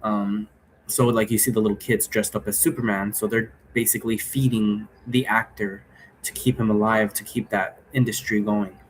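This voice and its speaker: A man hosting in a casual, rambling, explanatory way, halting often and checking in with the listener. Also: fairly low pitch, level tone, low-quality recording. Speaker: host explaining role-play game rules. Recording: noticeable background noise.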